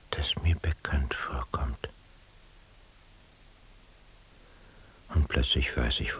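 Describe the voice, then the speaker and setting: A weary, obsessive man on surveillance thinks aloud in a radio play. His voice is extremely low and crackles with fry, breathy to a near whisper. He broods slowly and deliberately over each phrase. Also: full character voice. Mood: intent, searching. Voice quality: breathy, gravelly, half-whispered. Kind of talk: radio drama interior monologue.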